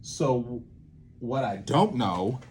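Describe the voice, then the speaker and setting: A young man explaining in a casual, halting way, thinking aloud and often repeating and checking himself. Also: mid-range voice, expressive, darkish tone, slight background noise. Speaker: creator teaching a creative method.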